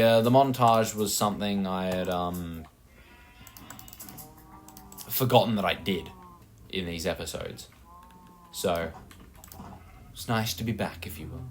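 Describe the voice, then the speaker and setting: A young Australian man rambling casually over his gameplay, with many fillers and self-mocking asides. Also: low voice. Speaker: gaming channel host.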